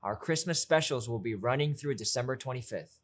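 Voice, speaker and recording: A man's voice: medium pitch, lively intonation, a little fast. A man presenting a channel outro in a conversational, promotional style.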